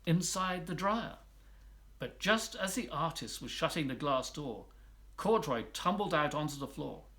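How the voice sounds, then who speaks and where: Animated man reading a children's story. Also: fairly high pitch, measured pace. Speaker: volunteer storybook reader. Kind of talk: expressive children's story narration.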